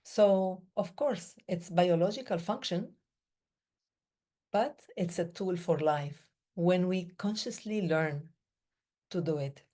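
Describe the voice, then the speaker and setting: Slow, soft-spoken woman, Middle Eastern-born. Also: lowish voice. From Middle East. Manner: slow, measured, with pauses between short phrases. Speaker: breathing day speaker. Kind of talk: reflective talk.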